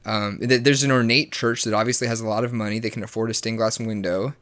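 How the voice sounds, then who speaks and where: A man's voice: lowish voice, steady tone, fast, fairly bright tone. Guy in his late 20s, keeps stumbling over words, sarcastic, chatty. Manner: rambling, stammering restarts, sarcastic asides. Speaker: guest. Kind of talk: casual conversation.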